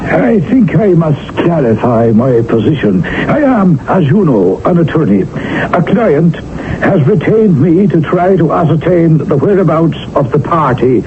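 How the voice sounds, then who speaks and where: An actor playing a guarded, precise lawyer in a 1970s radio drama, crisp, formal and evasive. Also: male voice, on the high side, very animated, measured pace, slight background noise. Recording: narrow lo-fi old radio broadcast.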